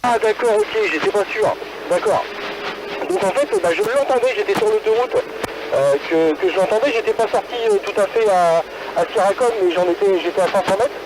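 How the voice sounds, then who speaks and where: A man's voice: high-pitched, brisk. A man chatting casually and hesitantly as an amateur radio operator, transmitting over a two-way radio from a car. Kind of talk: casual radio chat between hams. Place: in a car, mobile. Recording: very noisy ham radio relay transmission. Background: loud radio and vehicle noise.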